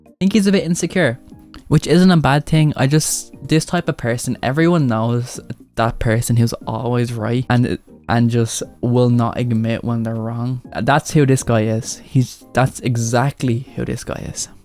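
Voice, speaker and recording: A man's voice: mid-range voice, fairly even intonation, normal speed, darkish tone, soft background music. A man giving casual commentary on video clips, blunt and mocking, and he swears freely. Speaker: commentary YouTuber.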